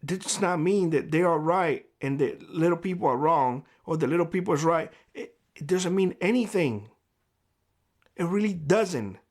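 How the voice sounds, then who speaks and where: Hoarse man giving blunt advice. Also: fairly high pitch, animated, moderate pace, a little mellow. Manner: blunt and lecturing. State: hoarse, losing his voice. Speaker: prepper YouTuber. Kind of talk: direct-to-camera vlog monologue. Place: homestead.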